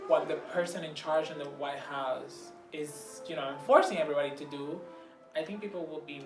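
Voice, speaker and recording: Puerto Rican man, distant and roomy. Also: fairly high pitch. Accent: Puerto Rican Spanish. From Puerto Rico. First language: Spanish. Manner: thoughtful, searching for English words. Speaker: interviewee. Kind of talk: casual interview answers. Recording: roomy, distant mic, background noise.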